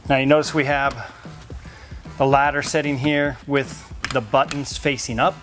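A man in his forties in a garage workshop, explaining a rooftop tent install step by step in a relaxed, practical way. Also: medium pitch, a little slow, faint music behind. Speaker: off-road shop installer. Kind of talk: how-to install demonstration.

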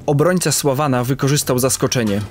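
A man narrating an audio drama in a measured storytelling manner. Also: mid-range voice, soft background music.

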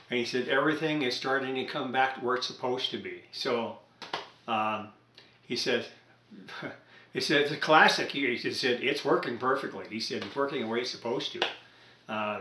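An older man talks at his home workbench in a relaxed, meandering way, stopping often for fillers and restarts. His pitch sits in a middle range. The small workshop gives his voice a noticeable roomy echo. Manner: rambling, hesitant, many fillers. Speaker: radio repair hobbyist vlogger. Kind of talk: casual workbench vlog. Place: cluttered home workshop with electronics bench.

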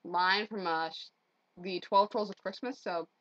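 A woman's voice: mid-range voice, moderate pace, fairly bright tone. A young woman chatting casually and jokingly with a friend in a playful, sweary way. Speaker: amateur vlogger doing character voices. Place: small bedroom at home, indoors. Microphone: computer webcam mic at arm's length. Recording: dull lo-fi webcam audio.

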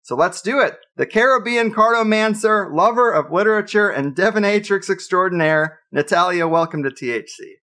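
A man's voice: high-pitched, normal speed, hardly any pauses, pushed and bright. A man hosting a podcast, reading a dramatic scripted introduction and then chatting with his guest. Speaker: podcast host.

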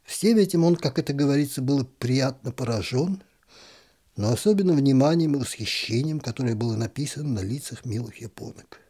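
Male narrator for a literary story, mellow and slightly husky, calm and expressive. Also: medium pitch, brisk. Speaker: audiobook narrator.

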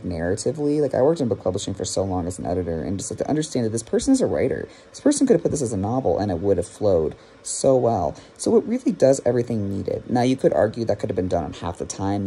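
A man's voice: on the low side, sing-song, fast, hardly any pauses, dark tone. A young man giving a casual, rambling review to camera with wry, self-deprecating asides, recorded on a phone at arm's length in a small room. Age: young adult. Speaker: podcast reviewer. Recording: clear background room noise.

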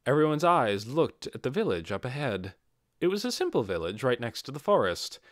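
A man's voice: mid-range voice, very animated, a little fast, dry recording, studio quality. A man narrating a fantasy audiobook from a written script.

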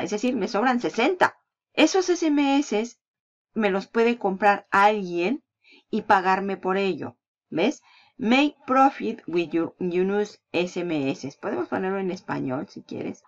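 A woman with a Peninsular Spanish accent hosting a casual screen-recording walkthrough, chatty and upbeat, laughing between points. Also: mid-range voice. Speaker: affiliate marketing channel host. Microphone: voice-over on a screen recording. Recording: slightly dull lo-fi voice-over.

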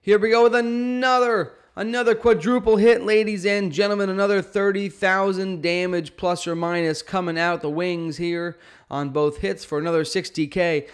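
A man's voice: high-pitched, clear, resonant tone. A man gives casual strategy commentary on a game, emphatic and often checking in with the listener. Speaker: gaming video host. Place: indoor room at home.

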